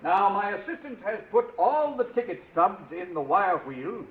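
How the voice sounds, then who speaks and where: High-voiced showy auctioneer, tinny recording. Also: male voice, big pitch swings, moderate pace. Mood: suspenseful, showy. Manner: theatrical, coaxing showman's patter. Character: showy, jovial charity auctioneer working a crowd. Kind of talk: 1940s radio acting. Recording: tinny, echoey, noisy old radio recording.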